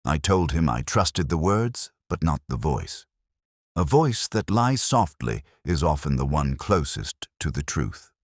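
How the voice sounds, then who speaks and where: A man playing a brooding, melancholy police inspector, narrating his notebook in measured, reflective, literary prose. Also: extremely low pitch, sing-song, no echo, clean studio sound, full character voice. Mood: reflective, sombre. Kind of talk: literary first-person scripted narration.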